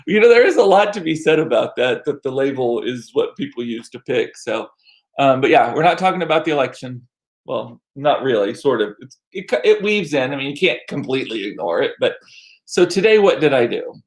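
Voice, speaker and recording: A middle-aged American man chatting casually as a livestream host, rambling along with self-deprecating asides and animated, repeated words for emphasis. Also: mid-range voice, brisk. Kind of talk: casual chat to live viewers. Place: small home room used as studio.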